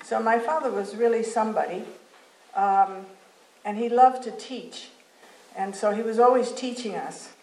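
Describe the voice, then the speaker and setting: A woman's voice: fairly high pitch, unhurried, a little mellow. A woman from Venezuela, speaking as a guest and telling her life story in a rambling, anecdotal way. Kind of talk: personal storytelling talk. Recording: echoey hall with faint noise.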